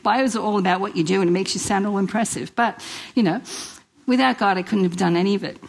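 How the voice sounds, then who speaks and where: A middle-aged woman speaks to a church gathering in a medium-pitched voice. Her accent is New York, with traces of Italian and Australian. She preaches informally, chatting and exhorting, often repeating a phrase or putting a question to her listeners. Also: fast, vocal fry. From New York, United States. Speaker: guest speaker at a church women's event. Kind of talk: informal inspirational sermon to a live audience.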